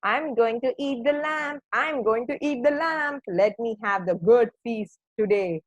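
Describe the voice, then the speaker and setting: A woman's voice: on the high side, a little slow, no echo, lo-fi sound, pitched above the performer's own voice. A woman voicing a greedy male storybook wolf who mutters and gloats to himself. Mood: gloating.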